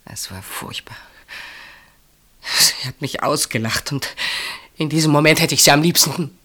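A woman's voice: low-pitched, slightly rough, heavily acted. An actress in a German radio play, playing an anguished woman secretly in love with her sister's husband, intense and dramatic. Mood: halting, distraught. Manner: intense, dramatic, swinging from outcry to hushed halting speech.

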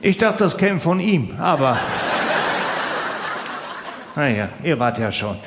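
Reverberant noisy live hall, male stand-up comedian, highish animated voice, colloquial, mock-exasperated. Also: slow, over a noisy crowd. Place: large live venue.

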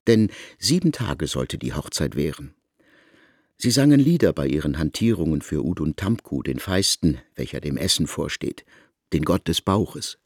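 Deep-voiced male literary narrator. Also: very animated, moderate pace, darkish tone, no echo, clean studio sound.

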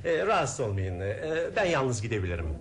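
An actor playing a shrewd, scheming male investigator in a Turkish radio drama, polite and guarded, with deliberate phrasing. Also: medium pitch, sing-song, loud music behind the voice. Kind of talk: Turkish radio theater acting.

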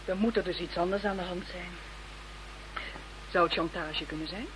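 A woman's voice: lowish voice, steady tone, moderate pace, natural voice. A woman acts a sassy police team assistant in a radio play. She is outspoken and cheeky, heard on a distinctly noisy recording. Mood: thoughtful.

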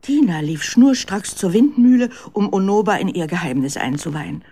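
A woman's voice: on the low side, lively intonation, moderate pace, mellow, slightly rough. A woman narrating a children's radio drama as a scripted storyteller.

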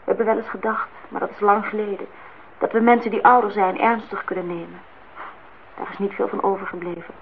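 A woman's voice: fairly high pitch, close to an everyday voice. An actress playing an indignant, disillusioned young woman in a Dutch radio play, outspoken and reproachful as she speaks her mind. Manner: reproachful, talks in long outpourings. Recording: dull, noisy old radio play recording.

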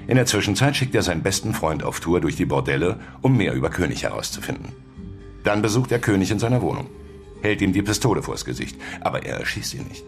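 An actor playing a blunt, world-weary journalist in a radio drama, speaking in a direct, dry and pressing way. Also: male voice, low voice. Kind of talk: German radio drama acting.

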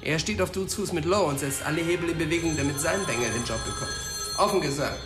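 An actor playing a chatty, blunt police colleague passing on gossip in a crime radio drama. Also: male voice, fairly high pitch, fast, music in the background, lightly acted. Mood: gossipy, frank.

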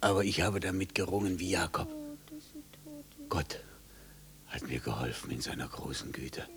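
An actor playing a gaunt, greying, haunted religious mystic in a radio drama, speaking in a hushed, fervent way. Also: male voice, sits low, lively intonation, moderate pace. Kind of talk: 1950s German radio drama acting.

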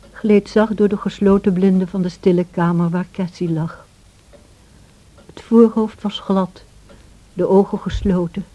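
A woman playing a sorrowful, gentle servant who narrates past events in a radio play in a measured way. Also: medium pitch. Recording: dull old radio play recording.

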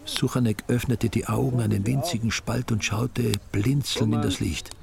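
A man's voice: medium pitch, steady tone, moderate pace, gravelly, crowd noise. A man narrating a story with dry, ironic humor. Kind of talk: wry storytelling reading.

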